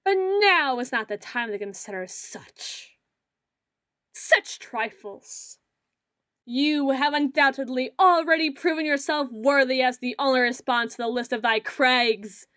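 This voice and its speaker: A woman playing a melodramatic self-styled female dark lord in an ASMR roleplay, pompous and theatrical, grandiose yet a little awkward. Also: high-pitched, a little slow, dry recording, clean studio sound. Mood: pompous, menacing. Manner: pompous, theatrical, mock-archaic phrasing with awkward hedging.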